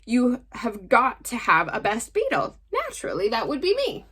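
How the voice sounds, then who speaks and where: A woman's voice: a little high, huge pitch swings, normal speed, sharp tone, husky, full character voice. A woman voicing a self-important, pushy beetle in a picture book, insistent and self-assured.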